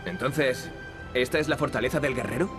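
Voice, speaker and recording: A man's voice: mid-range voice, brisk, natural voice. An actor with an accent from Spain playing a cocky, sarcastic young male adventurer in a wry, flippant way for a video game. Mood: wry, composed. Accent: Spanish from Spain. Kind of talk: dubbed adventure game dialogue. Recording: loud music behind the voice.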